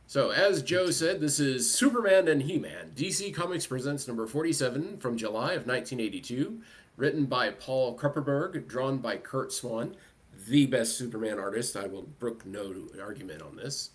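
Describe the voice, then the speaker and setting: A man's voice: mid-range voice, lively intonation, moderate pace. A man hosting a fan panel in a casual, conversational style. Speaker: panel host. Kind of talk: casual panel talk and introduction.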